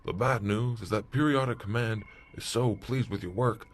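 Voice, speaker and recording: A man's voice: fairly low pitch, moderate pace, natural voice. Man as a businesslike space commander, faint noise. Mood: official. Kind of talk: retro sci-fi audio drama acting.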